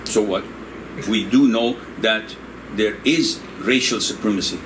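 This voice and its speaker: An older man originally from Indonesia, a religious scholar answering questions in a relaxed, joking, digressive way, laughing often. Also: on the low side, unhurried. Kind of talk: conversational religious discussion. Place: room in Saudi Arabia. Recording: noisy room with air conditioning hum.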